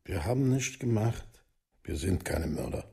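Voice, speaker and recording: A man's voice: lowish voice, big pitch swings, unhurried, dark tone. An actor playing a stubborn, defensive father in a documentary radio play, speaking in terse denials. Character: Jürgen, stubborn, defensive father of accused sons.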